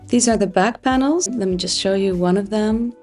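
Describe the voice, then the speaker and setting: A woman whose first language is Dutch, a pattern designer calmly explaining a sewing project step by step with occasional fillers. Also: mid-range voice, normal speed, faint music behind. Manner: calm, explanatory, with frequent "uh" fillers. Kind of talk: sewing tutorial.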